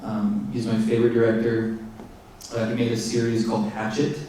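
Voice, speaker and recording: A man, the filmmaker, answering audience questions in halting speech full of fillers and restarts, recorded in a theater. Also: fairly low pitch, monotone, warm tone, a little hiss or hum. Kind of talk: post-screening Q&A answers. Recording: echoey theater from far off.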